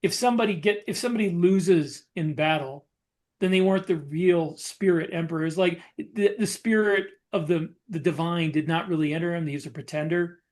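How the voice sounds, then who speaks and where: A man co-hosting a podcast and talking shop as a novelist, explaining casually in a halting way and reworking his phrases. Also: on the high side, a little mellow. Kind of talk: casual discussion of writing military science fiction.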